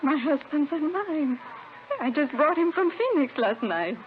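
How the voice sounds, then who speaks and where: An actress playing a desperate, insistent wife in a 1950s radio drama, on an old noisy recording. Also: female voice, high voice, animated, a little slow, narrow, tinny audio. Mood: defensive, bewildered. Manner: pleading, insistent denials in short phrases. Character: desperate young wife clinging to a stolen baby.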